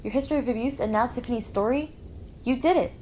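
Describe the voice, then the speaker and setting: A woman's voice: fairly high pitch, fast talker, a little mellow, a little hiss or hum, close to an everyday voice. A woman playing a firm, probing amateur sleuth at a lodge in a puppet show. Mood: accusing. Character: firm, reflective female lodge worker turned sleuth.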